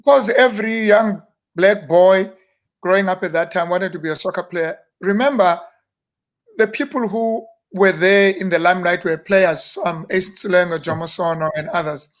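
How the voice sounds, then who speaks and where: A South African man in his fifties, a minister, reminiscing in an unhurried, rambling interview with many fillers and restarts. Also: high voice. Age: 50s. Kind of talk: relaxed reminiscing interview talk.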